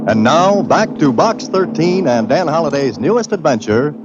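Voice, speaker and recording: A man's voice: on the high side, sing-song, moderate pace. A man announcing a 1940s radio show in a theatrical, emphatic style. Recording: dull vintage radio recording, loud music.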